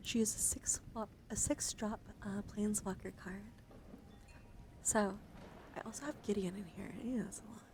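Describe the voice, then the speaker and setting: A woman streamer speaking softly and playfully in an ASMR style, close to the microphone, her breathy voice often dropping into a whisper. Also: mid-range voice, normal speed. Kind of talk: soft-spoken ASMR card showcase. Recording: clear background noise.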